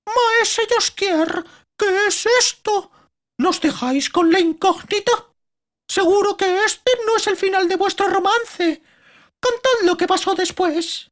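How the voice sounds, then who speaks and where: Very high-pitched merchant dame. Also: male voice, slow, a bit bright, exaggerated voice. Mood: insistent, curious. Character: stout-hearted merchant dame, insistent, curious listener. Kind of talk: audiobook fantasy character acting.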